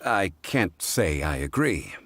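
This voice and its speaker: Low voiced scholarly game gentleman, formal and measured, music behind. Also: big pitch swings, gravelly, full character voice. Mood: calmly reasoning. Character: composed, erudite gentleman scholar, tactfully unshakeable. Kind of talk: video game voice acting.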